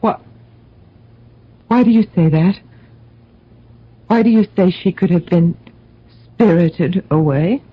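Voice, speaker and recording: An actress playing a grave, troubled wife and mother in a radio drama, speaking formally and deliberately. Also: fairly low pitch, breathy edge. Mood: alarmed. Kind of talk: old-time radio drama acting. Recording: dull lo-fi radio recording.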